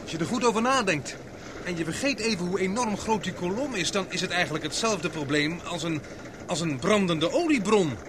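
Actor as Paul, high-voiced earnest adventurer. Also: male voice, brisk, noisy background, natural voice. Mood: thoughtful. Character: Paul, earnest, practical adventurer, improvising a bold plan. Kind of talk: radio drama acting.

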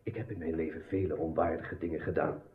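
An actor playing a humble, remorseful knight in a radio drama, speaking in a subdued, earnest way. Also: male voice, a bass voice, fairly even intonation, fast talker. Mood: humbly pleading. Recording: echoey, dull old radio recording.

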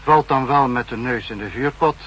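An actor playing a calculating spy chief, speaking with measured, superior authority in a 1960s radio drama. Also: male voice, medium pitch, a bit bright, breathy edge. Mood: pondering, grave. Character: calculating, authoritative male spymaster, head of secret service. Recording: faintly noisy 1960s radio recording.

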